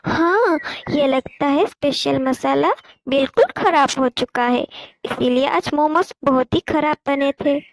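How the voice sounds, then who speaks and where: A woman's voice: high-pitched, unhurried, dry recording, low-quality recording. A woman voicing an earnest, motherly little bird in a cartoon. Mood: worried. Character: hardworking, gentle mother sparrow, worried and earnest.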